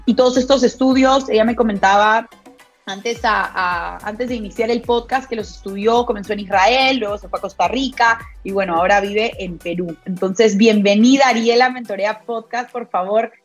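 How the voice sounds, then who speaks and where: A woman's voice: mid-range voice, moderate pace, faint music behind. A woman hosting a podcast, introducing her guest and asking curious, enthusiastic questions with plenty of fillers. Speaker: podcast host. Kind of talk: casual interview hosting.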